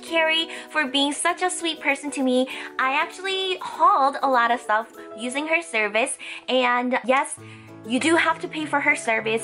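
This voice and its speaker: A young woman chatting casually and excitably as a haul vlog host, slightly stuffed up from a cold. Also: sits high. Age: young adult. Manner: bubbly, excitable. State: stuffy, getting over a cold. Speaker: host of a toy haul channel. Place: indoor room lined with plush toys.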